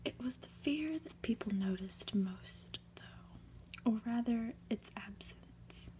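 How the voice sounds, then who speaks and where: A woman reads a storybook aloud for ASMR in a soft, breathy, gentle whisper, with deliberate, unhurried phrasing. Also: on the high side, animated. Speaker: ASMR reader.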